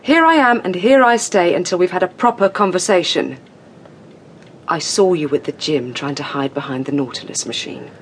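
An actress playing a forthright, insistent British solicitor friend, brisk and assertive in a naturalistic radio drama. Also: female voice, on the low side, expressive, a little hiss or hum, lightly acted. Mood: confrontational.

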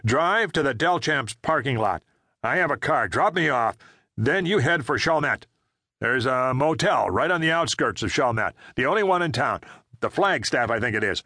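A man doing a gruff ex-prisoner barking orders. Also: mid-range voice, lively intonation, moderate pace, bright, forceful tone, dry recording, studio quality, pitched above the performer's own voice. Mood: commanding. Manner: barking terse commands. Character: agitated man, a Vietnam ex-POW, urgent.

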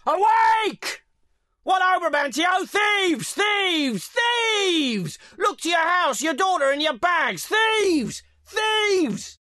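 An actor playing a scheming, crude male villain, shouting alarms and mocking in a dramatised audiobook. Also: very high voice, sing-song, drawn-out words, dry recording, clean studio sound, exaggerated voice. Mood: alarmed shouting. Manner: coarse, taunting, shouting alarms.

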